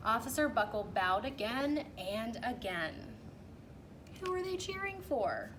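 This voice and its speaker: Higher-pitched woman reading a storybook, noisy recording. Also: unhurried. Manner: animated, emphatic, drawing out key phrases. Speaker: teacher reading a picture book aloud. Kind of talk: expressive children's storybook read-aloud. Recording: clearly noisy background.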